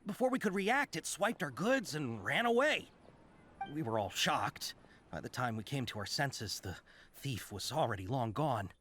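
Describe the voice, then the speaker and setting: An actor playing a flustered caravan merchant in a video game, speaking hesitantly and dramatically. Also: male voice, medium pitch, sing-song, moderate pace, fairly bright tone. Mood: flustered, incredulous. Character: flustered male caravan merchant, eager to please. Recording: music behind the voice.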